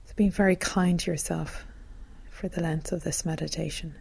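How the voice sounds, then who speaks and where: A woman leading a guided meditation in a gentle, calm, soothing manner, as a teacher would. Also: on the low side, normal speed, gravelly, breathy edge.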